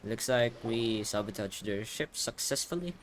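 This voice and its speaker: A man's voice: mid-range voice, lively intonation, moderate pace, slight background noise. A man giving casual, rambling commentary on a game playthrough into a headset mic. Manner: informal, rambling asides. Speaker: game streamer. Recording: game music behind voice.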